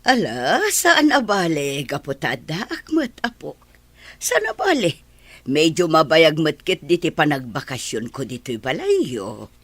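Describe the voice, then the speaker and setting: A woman's voice: deep voice, very animated, a little slow, breathy edge, no room sound, full character voice. A woman acting as a doting elderly grandmother in a radio play, fond and chuckling. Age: older.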